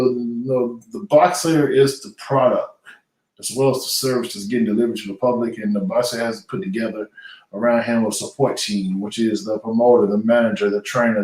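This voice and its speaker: A man's voice: lowish voice, a little mellow. An earnest middle-aged man talks through his answers with plenty of fillers and restarts. He sits back from the microphone, so his voice comes across distant. The room around him is echoey. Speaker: guest, retired boxer turned businessman. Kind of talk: conversational interview. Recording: echoey room audio, voice from far off the mic.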